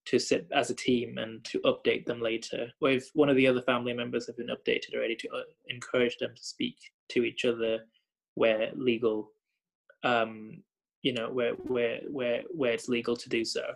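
Gravelly young man, reflective and halting. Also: mid-range voice, flat delivery, low-quality recording. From Thailand. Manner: thoughtful, many fillers and repeated starts. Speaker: hospital doctor. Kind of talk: reflective conversation.

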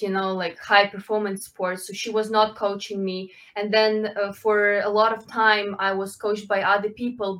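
A woman, answering interview questions in halting, full of fillers. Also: medium pitch, a bit bright. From Ukraine. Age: 20s. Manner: halting, with frequent fillers and restarts, non-native phrasing. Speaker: guest, Olympic swimmer.

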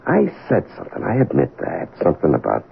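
A sheepish husband in an old radio mystery. His voice is extremely low and gravelly, and he halts as he excuses himself. It sounds thin, like an old broadcast. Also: wildly swooping pitch, full character voice. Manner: halting, self-excusing. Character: sheepish, hesitant husband confessing to his wife. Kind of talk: 1970s radio mystery acting. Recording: narrow-band old radio, faint noise.

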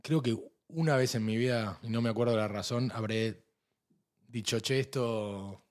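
A young man with an Argentine accent, co-hosting a casual chat and telling stories, jumping into high voices when he quotes people. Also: moderate pace. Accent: Argentine Spanish. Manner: animated storyteller, jumps into high put-on voices for quoted people. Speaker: podcast co-host.

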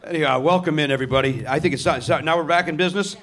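A man's voice: a little high, racing, fairly bright tone. A man hosting a live comedy show in a theatre, riffing on the crowd with sarcastic, profane asides. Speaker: comedy podcast host. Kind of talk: live stand-up banter with the audience. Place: theatre stage before a live audience.

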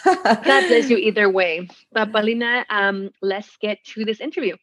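A woman's voice: mid-range voice, lively intonation, normal speed, a bit bright. A woman in her thirties co-hosting a podcast, chatting casually with lots of fillers and an easy laugh. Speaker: podcast co-host. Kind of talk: casual chat.

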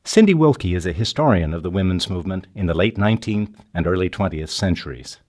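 Low, animated older male narrator. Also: fast talker. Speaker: podcast host and narrator. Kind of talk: scripted documentary narration. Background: music.

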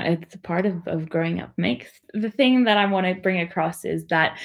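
A young woman giving a reflective personal account in a thoughtful, measured and candid way. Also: lowish voice.

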